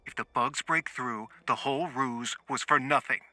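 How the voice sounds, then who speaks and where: An actor playing a composed, calculating colonel in a video game, speaking in a formal, dry, faintly superior way. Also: male voice, mid-range voice, normal speed, bright, forceful tone, no room sound. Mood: stern. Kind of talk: scripted video game acting.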